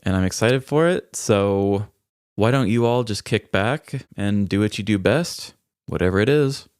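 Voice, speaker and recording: A man's voice: lowish voice, unhurried, darkish tone, clear, resonant tone, no echo. An American man co-hosting a comedy podcast, telling stories with wry, dry humor. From American Midwest. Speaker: podcast co-host. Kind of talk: casual comic podcast talk and scripted storytelling.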